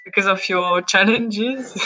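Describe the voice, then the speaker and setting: A woman in her twenties from Switzerland, introducing herself on a video call with halting, filler-filled speech. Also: mid-range voice, rough recording. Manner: hesitant, many fillers, laughs softly. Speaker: art contest winner.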